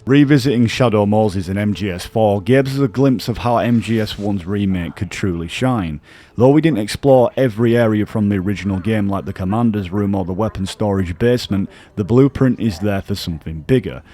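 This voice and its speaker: A man narrating a scripted video essay about games as a YouTube commentator, explaining in an earnest, measured way. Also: lowish voice, dark tone.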